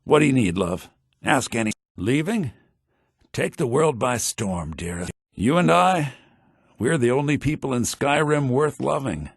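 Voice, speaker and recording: An actor playing a sly, cynical townsman in a fantasy video game, with a husky, gravelly voice and a wry, conniving, expressive delivery. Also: mid-range voice, unhurried, dry recording, clean studio sound, heavily acted. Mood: fond, smooth. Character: sly, cynical adult male townsman and schemer. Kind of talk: scripted game dialogue.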